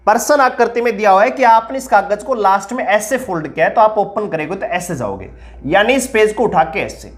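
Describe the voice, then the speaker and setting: Man teaching with a sore throat. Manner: questions the viewers, then answers himself low and slow; steady, explaining step by step. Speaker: reasoning teacher. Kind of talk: online exam-prep lesson.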